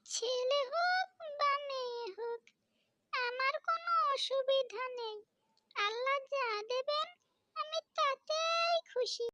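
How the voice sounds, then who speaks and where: A gentle young cartoon village wife, acted by a woman, with an extremely high, childlike pitch. She speaks slowly and pleadingly, an anxious, soft-hearted mother. The sound is close and dry. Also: dry recording, lo-fi sound, full character voice. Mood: tearful. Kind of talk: cartoon fairy-tale voice acting.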